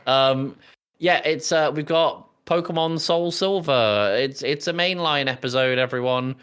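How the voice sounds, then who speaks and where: A man's voice: on the high side, unhurried, fairly bright tone, smooth. A man hosting a podcast, chatting casually and steering the conversation. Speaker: podcast host. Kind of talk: casual chat, moderating.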